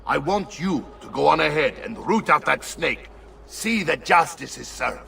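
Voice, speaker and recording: Game role: gruff mercenary uncle, Italian accent, forceful gravelly voice, shrewd and in charge. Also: male voice, a little high, animated, moderate pace, slight background noise, exaggerated voice. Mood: urgent. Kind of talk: video game cutscene acting. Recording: slightly distant, faint music behind.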